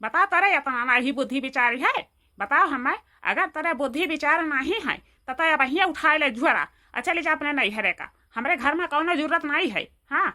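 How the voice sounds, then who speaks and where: A woman's voice: sits high, expressive, normal speed, sharp tone. A woman voicing a cantankerous, bossy old village mother-in-law with an Awadhi accent in a comedy cartoon, nagging and scolding indignantly. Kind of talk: Hindi dialect comedy cartoon dialogue.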